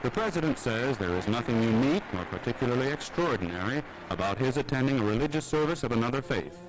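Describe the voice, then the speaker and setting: Lively-toned American newsreel narrator, male. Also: medium pitch, quick pace, noisy background. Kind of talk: formal scripted 1950s newsreel narration. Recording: vintage newsreel soundtrack, faint music. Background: faint music.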